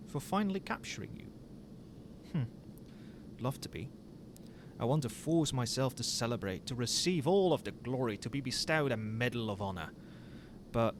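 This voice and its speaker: A man voicing a smug but wistful hero captor who is secretly fond of the villain, in a theatrical, deliberate ASMR roleplay monologue. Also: medium pitch. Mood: conflicted. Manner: theatrical, deliberate, with dramatic pauses. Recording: amateur recording with audible background noise.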